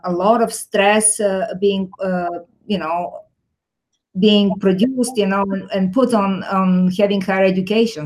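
A woman's voice: medium pitch, a little mellow, low-quality recording. Bulgarian-born woman, thoughtful guest. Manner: thoughtful, many fillers and restarts. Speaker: guest, tech executive. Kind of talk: interview answers.

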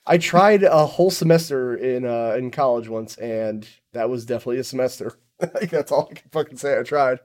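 A man from the United States chats as a guest on a podcast, animated and self-mocking. He swears casually and jumps between topics. His voice sits at an ordinary middle pitch. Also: brisk. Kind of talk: casual chat.

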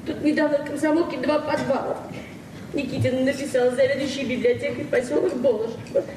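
On a noisy, echoey old radio play recording, an actress plays a proud small-town librarian in her forties. Her high voice moves quickly, plain-spoken and frank, with the earnest grievance of a hard-pressed woman. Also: fairly even intonation, far from the mic, natural voice. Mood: aggrieved, confiding. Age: 40s. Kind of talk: Soviet 1970s radio drama acting.

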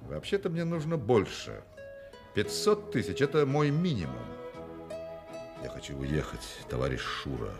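An actor playing a witty, self-assured con artist in a radio play, with an ironic, theatrical and commanding manner. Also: male voice, on the low side, wildly swooping pitch, moderate pace, gravelly, heavily acted. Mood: brisk, commanding. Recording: radio play with music behind.

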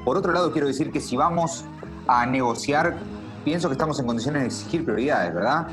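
An actor plays a radio co-host in a comic drama. The delivery is very quick mock news with an Argentine accent and medium pitch, over loud music. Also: animated, close to an everyday voice. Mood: urgent, wry. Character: fast-talking radio co-host reporting breaking alien news.

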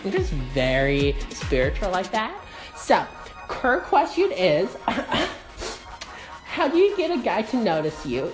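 A woman's voice: mid-range voice, lightly acted. A woman playing a shallow, self-absorbed young podcaster who talks in a giggly valley-girl drawl. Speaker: host of her own audio show. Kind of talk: comedic parody monologue.

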